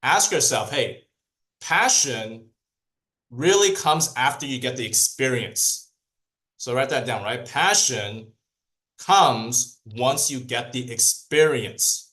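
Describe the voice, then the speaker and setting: A man whose first language is Cantonese, giving a lively training talk to a group, asking them questions and repeating key points. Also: medium pitch, takes it slowly, low-quality recording. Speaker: sales trainer.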